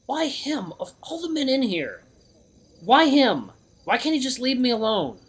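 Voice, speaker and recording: A man's voice: very high voice, very animated, a little slow, slightly rough, voice pushed up, heavily acted. A man voicing an anxious, stubborn young male hero in a visual novel, defensive and emphatic, repeating himself. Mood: exasperated.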